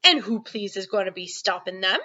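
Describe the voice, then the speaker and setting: A woman's voice: medium pitch, lively intonation, brisk, bright, forceful tone, muffled recording. A woman voicing a friendly, boastful storybook giant who talks in mangled grammar and invented comic words. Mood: emphatic.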